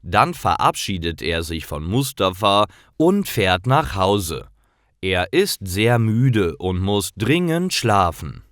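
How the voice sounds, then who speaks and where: A man with a lowish voice reads a simple learner's story slowly and deliberately. His intonation moves a lot, each word is carefully enunciated, and the sound is dry and close. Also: sing-song, a bit bright, dry recording. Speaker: narrator of German listening lessons for learners. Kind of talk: slow, clear scripted story narration for beginners.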